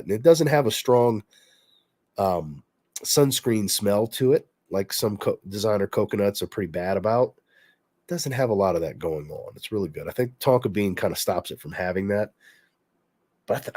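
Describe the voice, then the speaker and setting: A man's voice: lowish voice, no echo. A man who is congested and stuffy, casually answering chat questions as a fragrance reviewer on a livestream. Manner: relaxed, reads chat questions aloud and answers conversationally.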